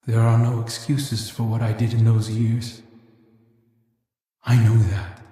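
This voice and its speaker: Actor as a slow, echoing, regretful nobleman. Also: medium pitch, monotone, mellow, natural voice. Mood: rueful, pensive. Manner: measured, confiding, rueful. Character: regretful, world-weary male nobleman, thoughtful and candid. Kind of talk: reflective video game monologue. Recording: very echoey voice.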